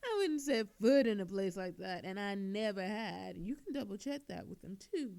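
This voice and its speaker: A woman's voice: mid-range voice, expressive, normal speed, creaky, mellow. A woman playing an obnoxiously flirtatious, sarcastic female thief in a scripted audio roleplay, teasing coyly and theatrically. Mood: mock innocent, sarcastic.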